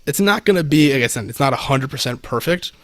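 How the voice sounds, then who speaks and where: A man's voice: mid-range voice, expressive, quick pace, no echo. A man giving a casual, practical explanation as a podcast guest, speaking close to a microphone. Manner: informal, explains practically with examples. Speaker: podcast guest, audio expert. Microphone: close podcast microphone, slightly off to the side.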